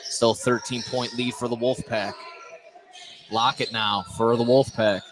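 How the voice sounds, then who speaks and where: A man delivers live basketball play-by-play for radio at a middling pitch. He is in an indoor gymnasium, with clear noise all around his voice. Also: little pitch movement, unhurried. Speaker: play-by-play sports announcer. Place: indoor school gymnasium during a game. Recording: noisy gym, loud background noise.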